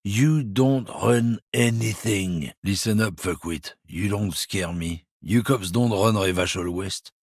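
An actor playing a surly, hostile lorry driver in a video game, sneering and swearing constantly, with a husky voice. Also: male voice, on the low side, takes it slowly, hardly any pauses, dry recording, full character voice. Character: surly bigoted male lorry driver, middle-aged, hostile. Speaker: game character. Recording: dry close studio recording.

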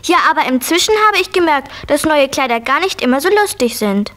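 High-voiced, eager little girl. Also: animated, a little fast, loud music behind the voice. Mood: cheerful, sincere. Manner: childlike, eager. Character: eager, playful, curious preschool girl. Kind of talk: children's audio play acting.

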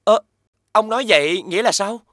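Honest, deferential fairy-tale hero: lightly high, kind, dry studio sound. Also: male voice, fairly quick, sharp tone, no echo. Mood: hesitant. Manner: respectful, deferential. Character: humble, kind, honest younger brother. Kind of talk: animated fairy-tale voice acting.

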